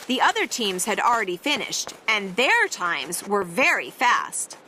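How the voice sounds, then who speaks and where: A woman reading a children's picture book aloud, with expressive, playful and dramatic emphasis on the words. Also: mid-range voice, unhurried, a little hiss or hum, rough recording. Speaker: read-aloud storyteller.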